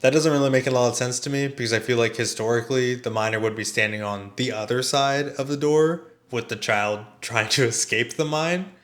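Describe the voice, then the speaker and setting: A man's voice: medium pitch, fairly quick, few pauses, a bit bright. A man giving a conversational scripted film review in a dry, sardonic manner. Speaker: video essayist and film reviewer.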